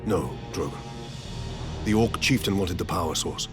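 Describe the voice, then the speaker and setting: A man's voice: sits low, moderate pace, close to an everyday voice. A man playing a stoic armored space marine captain in a video game, grave and formal, giving resolute orders. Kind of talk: dramatic video game acting. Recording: loud music and battle noise behind.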